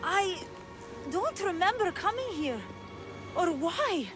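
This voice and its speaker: A woman voicing an anguished, earnest young woman with a dark hidden side, in a video game. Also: extremely high pitch, sing-song, takes it slowly, over music, full character voice. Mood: shaken, despairing. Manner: earnest, emotional. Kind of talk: video game cutscene acting.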